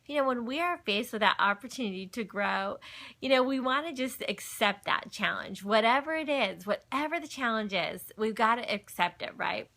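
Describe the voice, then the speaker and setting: Woman, middle aged, high bright voice, chatty folksy livestream host doing faith talk and stories. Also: lively intonation, normal speed. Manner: chatty, folksy, breaks off to greet and answer viewers. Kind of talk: casual chat, personal storytelling and faith talk. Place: at home. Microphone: phone.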